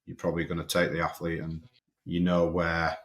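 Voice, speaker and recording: Young Yorkshire man, podcast guest, very low and level voice, halting delivery that repeats words. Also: monotone, a bit bright. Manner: hesitant, repeats and restarts words. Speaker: strength and conditioning coach, podcast guest. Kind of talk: casual podcast interview.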